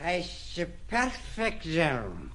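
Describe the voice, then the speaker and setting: An actor playing a drunken old man in an audio drama, halting and repeating himself. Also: on the high side, huge pitch swings, bright, forceful tone, a little hiss or hum, heavily acted. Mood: tipsy admiration. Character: drunken old male reprobate MacNab, admiring and muddled.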